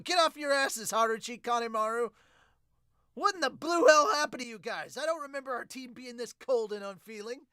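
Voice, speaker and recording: A podcaster voicing a hot-blooded teenage boy baseball player who scolds in a loud, exasperated way. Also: sits high, dry recording, studio quality, pitched above the performer's own voice.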